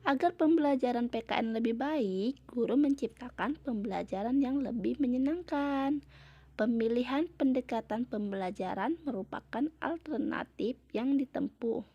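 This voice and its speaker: A young woman speaks in a fairly high voice, recorded close and dry. She reads her research presentation aloud formally, like a student reciting from a prepared text. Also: moderate pace, slightly rough, no room sound, highs cut off. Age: young adult.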